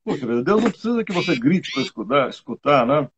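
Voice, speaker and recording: A man's voice: on the high side, sing-song, fast, a little hiss or hum. A Brazilian man, a lawyer guest on a radio show, explaining legal points conversationally with anecdotes and tag questions.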